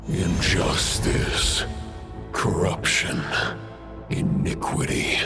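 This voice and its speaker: A man voicing an ancient, scornful spirit in a video game, speaking with grave, archaic menace in a deep, gravelly voice. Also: monotone, over music. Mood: scornful, menacing. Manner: slow, grave, archaic and accusing.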